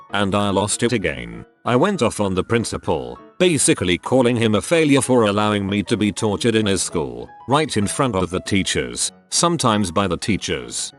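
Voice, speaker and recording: Guy with a lowish voice reading reddit-style stories, even pace, faint music under it. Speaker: narrator reading forum stories. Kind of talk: scripted narration.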